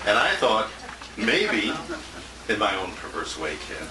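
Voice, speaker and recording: Animated older American man, very noisy room. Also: lowish voice, measured pace, far from the mic. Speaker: radio announcer giving a tribute. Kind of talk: informal, joking off-the-cuff speech. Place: restaurant banquet room. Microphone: distant handheld compact camera. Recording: very noisy, echoey handheld camera from afar.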